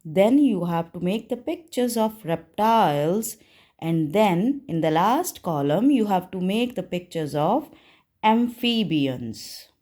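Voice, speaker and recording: Very slow, mellow woman teacher. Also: medium pitch, animated. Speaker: primary school teacher. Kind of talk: simple scripted lesson narration for young children.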